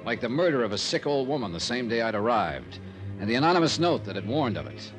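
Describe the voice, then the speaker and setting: Radio detective lead , played by a man: American, wry, tough, clipped, widely animated delivery over music. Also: medium pitch, fast, lightly acted. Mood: dry, urgent. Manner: wry, clipped, hard-boiled. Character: wry, tough American freelance insurance investigator. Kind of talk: 1950s radio detective acting and narration.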